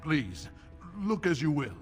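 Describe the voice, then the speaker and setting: An actor playing a deferential dwarf merchant in a fantasy video game, polite and formal. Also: male voice, a little high, sing-song, takes it slowly, slightly rough, soft background music, exaggerated voice. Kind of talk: video game dialogue acting.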